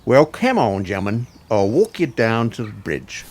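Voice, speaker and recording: A man's voice: fairly low pitch, big pitch swings, moderate pace, a little mellow. A man acting as a working-class police sergeant in an audio drama, colloquial and deferential. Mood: deferential, chatty.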